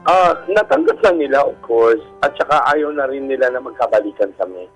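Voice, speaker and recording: Husky, effeminate Filipino man telling his story. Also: medium pitch, big pitch swings, brisk, rough recording. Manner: effeminate way of speaking. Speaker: radio show guest telling his life story. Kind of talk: candid personal storytelling in an interview. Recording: radio broadcast with music underneath. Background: background music.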